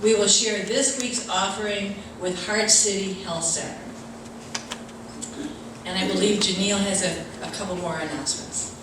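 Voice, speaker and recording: A woman's voice: medium pitch, steady tone, moderate pace. A woman giving informal church announcements to a congregation. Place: large reverberant room at a church service. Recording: very echoey, noisy hall, distant mic.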